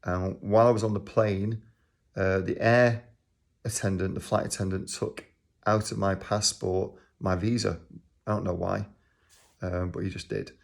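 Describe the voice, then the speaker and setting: A grandfather from Manchester speaks to the camera with a low, gravelly voice that stays flat and barely rises or falls. He rambles through a personal story, filling the pauses with ums and uhs. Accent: Manchester English. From England. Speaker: organisation leader.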